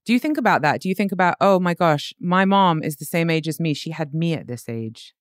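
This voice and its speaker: A woman's voice: low-pitched, animated, brisk, dry recording, clean studio sound. A woman interviewing a guest, asking attentive, probing questions. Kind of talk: conversational interview.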